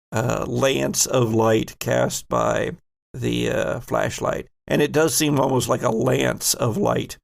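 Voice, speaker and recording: A man narrating a horror sci-fi tabletop game as game master, descriptive, with deliberate halting pauses. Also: mid-range voice, gravelly.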